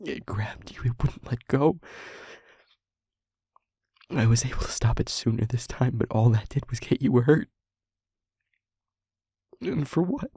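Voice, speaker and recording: A man playing a tormented, remorseful demon in love, in an intimate audio roleplay, speaking haltingly in a breathy, husky, often whispered voice and pleading. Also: mid-range voice, animated, mellow, no room sound, studio quality, exaggerated voice. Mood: anguished, guilty. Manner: slow, halting, emotionally pleading.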